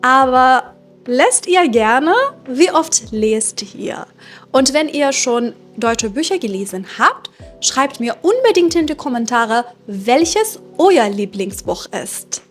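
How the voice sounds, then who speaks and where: A young woman from Iran speaks as a German teacher, taking her time over every phrase with a few small hesitations. Her voice sits a little high. Quiet music plays underneath. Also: expressive. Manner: careful, measured delivery with occasional hesitations. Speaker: German teacher and channel host. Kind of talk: slow, clear teaching narration for learners.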